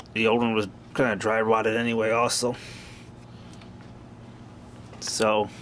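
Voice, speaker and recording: Man in a noisy amateur recording, plain-spoken. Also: medium pitch. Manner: plain-spoken, informal, mildly profane. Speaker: hobbyist repairer of vintage tape machines. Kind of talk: casual off-the-cuff repair narration.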